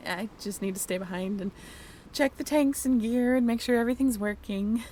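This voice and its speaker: Brief: actress as cheerful young female tour-boat crew, higher pitched, casual and chatty, faint background noise. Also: creaky, darkish tone. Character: friendly, upbeat young woman, dive crew member on a tour ship. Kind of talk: modern-day roleplay audio drama, casual.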